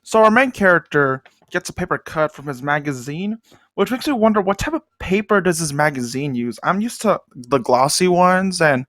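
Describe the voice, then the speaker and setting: A man's voice: a little high, lively intonation, normal speed, fairly bright tone, no room sound, studio quality. A young man hosting a casual comedic anime commentary, rambling with self-deprecating jokes muttered under his breath. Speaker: host.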